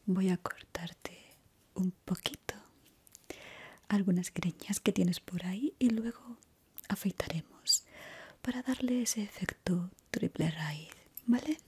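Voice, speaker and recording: A young woman whispering softly and breathily in a slow, soothing ASMR roleplay as a barber. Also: medium pitch, slightly rough. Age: young adult.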